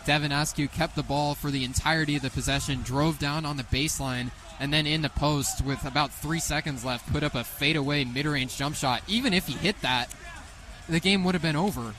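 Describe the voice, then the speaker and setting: A man in his twenties calling basketball play-by-play live on the radio in an excitable manner. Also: a little high, a little fast. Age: 20s. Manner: excitable, rising sharply on big plays. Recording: live sports radio, faint background noise.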